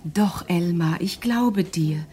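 An actress playing a devoted, hesitant woman in love in a radio crime drama, soft and often whispering. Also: fairly low pitch, takes it slowly. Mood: anxious, trusting.

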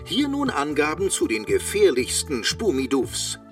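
A man's voice: on the high side, wildly swooping pitch, measured pace, far from the mic, music in the background, heavily acted. A man playing a chirpy automated hotline announcer with a salesman's zeal in a children's radio play, using sing-song patter. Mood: cheerful, salesy. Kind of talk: parody phone menu in children's radio play.

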